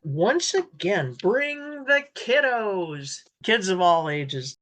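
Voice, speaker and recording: A woman's voice: medium pitch, sing-song, extremely slow, a bit bright. A woman hosting a radio interview, asking questions and giving announcements.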